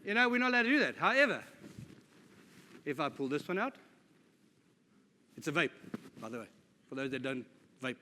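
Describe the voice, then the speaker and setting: A man's voice: fairly high pitch, sing-song, normal speed, long pauses, pushed and bright, slight background noise. A middle-aged man giving a conference talk, informal and jokey with self-deprecating asides. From South Africa. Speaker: conference speaker. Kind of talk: conference presentation. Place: conference hall. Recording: live talk in a reverberant hall.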